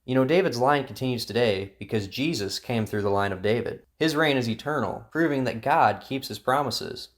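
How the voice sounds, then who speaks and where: A man's voice: mid-range voice, moderate pace, hardly any pauses. A man narrating a Bible story drama in an earnest, preachy storytelling style. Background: guitar music.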